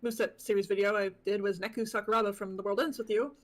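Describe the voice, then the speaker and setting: A woman's voice: mid-range voice, fairly even intonation, fast. A woman chatting on a live stream, rambling with lots of "like" and "um" as she explains how she started. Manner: rambling, many likes and ums. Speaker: stream guest, moveset creator. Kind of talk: casual live stream chat.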